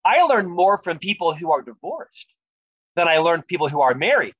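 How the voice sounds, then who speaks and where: A man with a noticeably high voice and a lifelong stutter talks earnestly and reflectively about leadership as an interview guest. The sound is dry and slightly dull, with little room in it. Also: sing-song, normal speed, fairly bright tone, no echo. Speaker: guest, author and leadership speaker. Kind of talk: podcast interview conversation.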